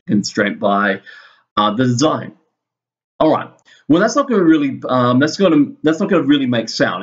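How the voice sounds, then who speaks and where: A man teaching an informal screen-recorded lesson, explaining step by step in a halting way and thinking aloud. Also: fairly low pitch, dull audio. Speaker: physics teacher. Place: indoors, screen-recorded voice-over at a computer.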